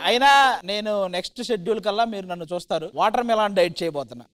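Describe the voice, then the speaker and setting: A man's voice: high voice, racing. A man doing comic banter on a stage show, emphatic and mock-indignant. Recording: loud music behind. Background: loud music.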